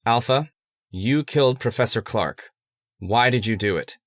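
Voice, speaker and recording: A man's voice: medium pitch, measured pace, a little hiss or hum, natural voice. A man playing a persuasive, controlling scientist's assistant in a radio horror play, earnest and articulate. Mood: commanding. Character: calm, stubborn, persuasive scientist's assistant devoted to the experiment. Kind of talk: old-time radio horror acting.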